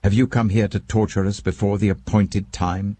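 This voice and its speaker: A man's voice: lowish voice, level tone, normal speed, warm tone, no room sound, pitched above the performer's own voice, close to an everyday voice. A British man voicing violent, tormented demons in a scripture audiobook, menacing and strained. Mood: defiant fear.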